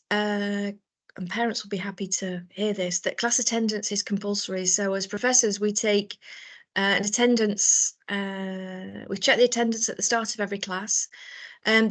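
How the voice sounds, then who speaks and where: A British woman, a professor, presenting a business school program in a live information session, explaining things with frequent ums and restarts. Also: mid-range voice. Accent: British English. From Britain.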